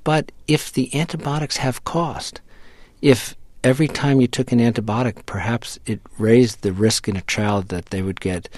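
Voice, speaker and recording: A scientist being interviewed who explains in a measured way, often repeating words as he thinks. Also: mid-range voice, fairly even intonation, a little mellow, slight background noise. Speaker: scientist guest. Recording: dry close-mic studio sound.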